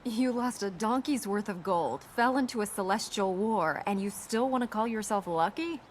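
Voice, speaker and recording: An actress playing a resolute, sharp-tongued young princess in a video game, brisk and dry in manner. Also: female voice, medium pitch, a little hiss or hum, natural voice. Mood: dry, teasing. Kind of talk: video game voice acting, dramatic dialogue.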